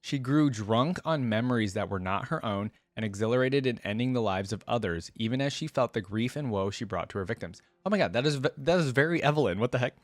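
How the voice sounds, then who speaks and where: A man's voice: medium pitch, expressive, fast, hardly any pauses, no echo. A man hosting a reaction video in a casual, conversational way, thinking aloud and reading aloud.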